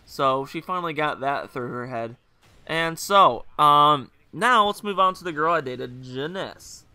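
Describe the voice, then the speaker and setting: A young man hosting a gaming video, telling a rambling, joking story over gameplay while hungover, his voice a little rougher and lower than usual. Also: animated, a little slow, sharp tone, no echo. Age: young adult. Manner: rambling, joking, full of fillers and asides.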